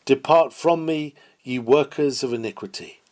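A man's voice: mid-range voice, moderate pace, darkish tone. Solemn man reading an old sermon. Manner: solemn, oratorical, exhortatory delivery. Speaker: reader of historical sermons. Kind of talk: dramatic reading of a Victorian sermon.

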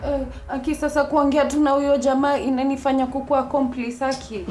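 An actress playing a frightened, pleading young employee with a Kenyan accent. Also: female voice, high voice, level tone, quick pace, darkish tone, natural voice.

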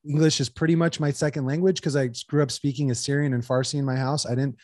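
A man in his thirties talking casually as an interview guest, rambling with lots of fillers, into a boom mic in a small room. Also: quick pace, no echo, clean studio sound. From United States. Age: 30s. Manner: rambling, filler-heavy, animated hand gestures. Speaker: guest entrepreneur. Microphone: headset with boom studio mic.

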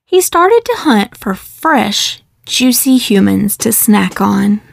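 A woman reading a picture book aloud in a measured, expressive storytelling delivery. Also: mid-range voice, vocal fry, dry recording. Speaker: children's book reader. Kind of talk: scripted storybook read-aloud.

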